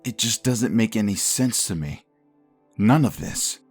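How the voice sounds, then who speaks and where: A man acts a bewildered, anxious husband in a comic book audio drama. His voice is low and earnest, and music plays softly behind it. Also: expressive, a little slow, slightly rough, heavily acted. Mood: bewildered frustration. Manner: earnest, uneasy. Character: worried, bewildered husband hounded by the city.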